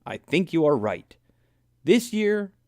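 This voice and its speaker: An eager little boy bear from a children's storybook, played by a man. He is earnest and exclamatory, with very lively intonation. The delivery is slow and closely recorded, with a dry sound. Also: a little high, no room sound, pitched above the performer's own voice, heavily acted. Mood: excited. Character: eager young boy bear cub, changeable, imaginative.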